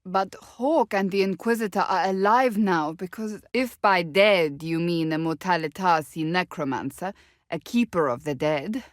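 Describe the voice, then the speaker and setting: A woman's voice: medium pitch, no room sound, clean studio sound, natural voice. An actress playing a stern, blunt, devout warrior woman in a fantasy video game, speaking in a formal, clipped, earnest way.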